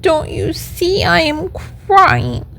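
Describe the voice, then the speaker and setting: A woman's voice: very high-pitched, animated, measured pace, pitched above the performer's own voice, heavily acted. A woman voicing an emotional wooden puppet boy from a storybook, plaintive and full of exclamations and questions. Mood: tearful, startled. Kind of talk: storybook character voice.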